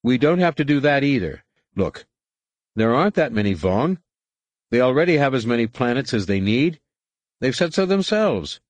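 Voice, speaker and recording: A man's voice: mid-range voice, very animated, normal speed, dry recording, pitched above the performer's own voice, natural voice. A man voicing a nervous, patronizing older male co-pilot turned traitor in a space adventure audiobook. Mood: uneasy, defeatist.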